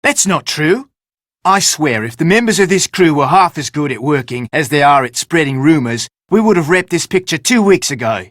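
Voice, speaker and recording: An actor playing a self-important, theatrical film director in an adventure game, speaking pompously with dramatic emphasis. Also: male voice, a little high, lively intonation, moderate pace, fairly bright tone, dry recording, clean studio sound. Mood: boastful. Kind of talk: scripted game character dialogue.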